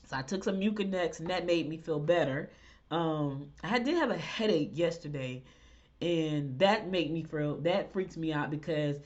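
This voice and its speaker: A woman hosting a podcast, chatting in a rambling, animated way. She sounds congested, with a stuffy nose. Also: lowish voice, dull audio. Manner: rambling, animated, talks to listeners directly. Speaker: podcast host. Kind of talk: casual chatty monologue.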